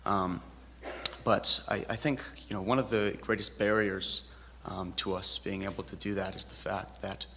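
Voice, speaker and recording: A man asks a question from the audience in a hesitant way, stopping often for fillers. His voice is gravelly and flat, with a long hall echo and faint room noise behind it. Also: sits low, normal speed. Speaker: audience member asking a question. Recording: reverberant hall with faint ambience.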